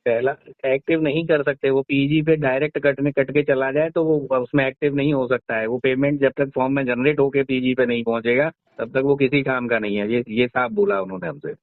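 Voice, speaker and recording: A man's voice: mid-range voice, a little fast, hardly any pauses, no echo. A man with a North Indian accent working as a helpline agent, explaining things informally and matter-of-factly on a phone call. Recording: muffled, dull phone call recording.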